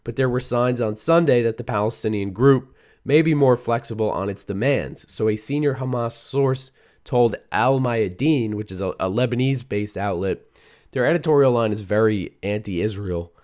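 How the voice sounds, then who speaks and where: A man hosting a news show, talking through the day's stories in a conversational way with a hoarse, worn-out voice. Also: medium pitch, moderate pace, darkish tone. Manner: conversational, reads quotes aloud, some restarts. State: hoarse, voice a little shot. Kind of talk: solo news commentary.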